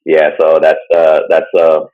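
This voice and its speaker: A man with a very low, deep voice chats loosely about a topic he's presenting, leaning on "like" and "uh" and chuckling often. The recording is dull and lo-fi. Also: monotone, unhurried. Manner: rambling, full of "like" and "uh" fillers, chuckles often. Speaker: podcast co-host presenting a topic. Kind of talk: casual chat. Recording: dull lo-fi sound.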